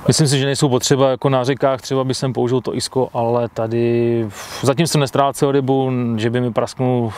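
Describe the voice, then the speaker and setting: A man's voice: medium pitch, flat delivery, few pauses, darkish tone, a little hiss or hum. A man in his thirties, an angler being interviewed outdoors by a lake, answering in a plain, matter-of-fact way into a clip-on mic. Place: outdoors by a lake, seated at a fishing bivvy.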